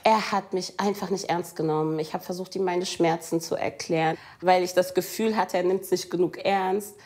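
A woman from Germany giving a personal account in an interview, emphatic, pausing and acting out quotes. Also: lowish voice, fairly even intonation, darkish tone. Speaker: interviewee, local politician.

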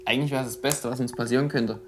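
A 27-year-old man speaking calmly and agreeably in a casual documentary chat. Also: mid-range voice, noisy background. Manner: calm, measured and agreeable. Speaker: reality documentary participant.

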